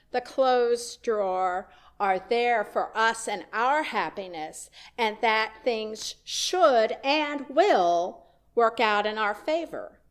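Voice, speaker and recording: A woman minister delivering a sermon, slow and deliberate, with emphatic rising questions. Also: fairly high pitch.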